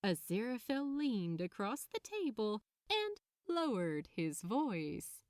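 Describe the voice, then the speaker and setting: A woman narrates a piece of fiction with very animated intonation. She reads at an unhurried pace in a mid-range voice, and the recording is close and dry. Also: fairly high pitch, no echo. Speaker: podfic narrator. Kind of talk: expressive scripted story narration.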